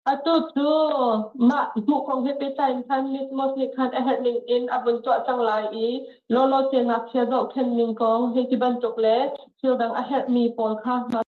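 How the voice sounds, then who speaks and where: A woman working as a court interpreter over a phone line, speaking with hesitant pauses and fillers. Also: on the high side, flat delivery. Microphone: phone call. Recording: tinny echoey phone line.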